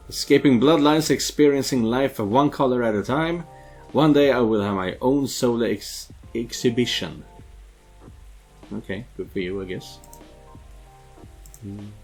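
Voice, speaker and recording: A man's voice: mid-range voice, unhurried, long pauses, slightly rough. A man doing a casual let's play, reading game text aloud and muttering hesitant asides. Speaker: game streamer dubbing a character. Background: background music.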